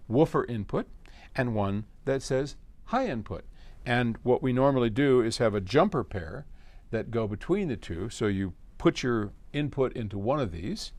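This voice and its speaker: A man's voice: lowish voice, a little mellow. A man hosting a casual explanatory talk, answering questions in a folksy, colloquial and emphatic way. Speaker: host answering listener questions.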